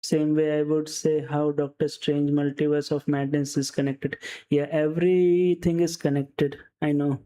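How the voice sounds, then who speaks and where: A woman's voice: low voice, little pitch movement, unhurried, warm tone, poor audio. A woman live streamer chatting casually while playing a game. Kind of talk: casual chat while gaming. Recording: game music behind the voice. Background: game music.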